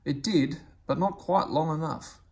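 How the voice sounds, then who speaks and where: A man's voice: a little high, unhurried, a little mellow, breathy edge. A man reading a children's story aloud as a scripted narration.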